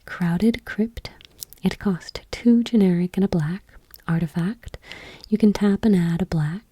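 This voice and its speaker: A woman doing ASMR, reading gently and unhurriedly in a breathy whisper, with casual asides. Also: lowish voice, no room sound. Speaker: ASMR host.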